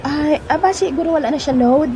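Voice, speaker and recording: Woman playing a giggly young girlfriend, chatty, high voice, hissy noisy recording. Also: brisk, dark tone, natural voice. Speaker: character in an audio drama. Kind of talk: amateur audio drama acting.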